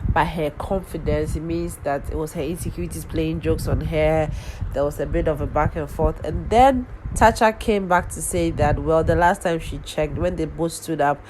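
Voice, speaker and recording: A woman's voice: sits low, normal speed, noisy background. A woman with a cold, sounding nasal, chatting casually through a rambling recap full of ums and restarts. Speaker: vlogger recapping a reality show.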